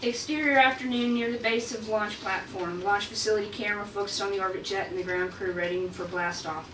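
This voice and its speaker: A woman's voice: mid-range voice, fairly even intonation, brisk. A woman reading out screenplay stage directions as narrator at a table read. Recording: echoey room, distant mic, clear noise.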